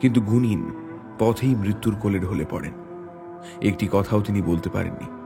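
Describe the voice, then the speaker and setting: A man reading a hunting adventure as a dramatic audio story, in a measured, suspenseful way. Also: on the low side, creaky. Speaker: story narrator. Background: background music.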